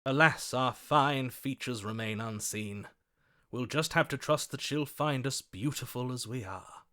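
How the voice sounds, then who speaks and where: A gallant storybook romantic for a video game, hopelessly smitten and grandly theatrical. His pitch sits in the middle for a man, and he lingers unhurriedly over florid, swooning phrases, tender and melodramatic. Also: measured pace, sharp tone. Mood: grand, eager. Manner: florid, swooning, dramatic delivery.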